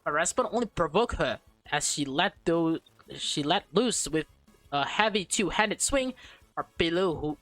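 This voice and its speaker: A woman reads a visual novel aloud as a let's play streamer, in a dry, close sound with faint music underneath. Her delivery is expressive, broken up by casual interjections and stumbles over the text. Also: fairly low pitch, measured pace, dry recording.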